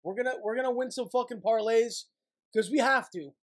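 A man's voice: very high-pitched, a little fast. A young man in his twenties chats casually as he hosts a livestream. His delivery is blunt and irritable, peppered with swearing. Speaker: livestream host. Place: small dim gaming room at home.

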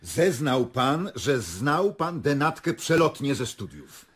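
A man's voice: medium pitch, sing-song, slow pace, breathy edge, exaggerated voice. An actor playing a stern, probing male prosecutor in a radio play, formal and accusatory. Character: stern male prosecutor interrogating murder suspects, cool and probing.

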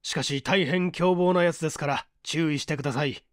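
A man's voice: a little high, fairly quick, no room sound, studio quality, close to an everyday voice. A man voicing a stern police chief in an audiobook story, formal and clipped in an official way. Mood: stern, brisk.

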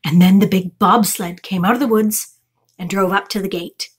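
A woman's voice: medium pitch, fairly even intonation, moderate pace, slightly rough. A middle-aged woman reading a children's chapter book aloud as a storytime reader. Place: small furnished room indoors.

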